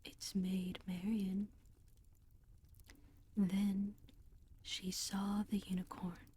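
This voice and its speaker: A woman narrating a storybook entirely in a soft, steady whisper. She reads at a very slow pace with a dark, mellow tone. A faint hiss sits underneath. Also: mid-range voice. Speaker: audiobook reader.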